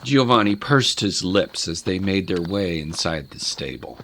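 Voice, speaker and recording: A man narrating a scripted story in a measured, literary storytelling manner. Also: sits low, lively intonation, fairly bright tone, slight background noise.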